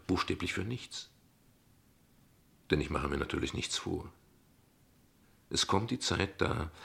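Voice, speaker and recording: A courteous, philosophizing father in a radio play. His voice is deep, creaky and husky. He speaks reflectively and articulately, with slight hesitations and dry wit. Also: a bit bright, heavily acted. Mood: brooding. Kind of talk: naturalistic German radio play acting.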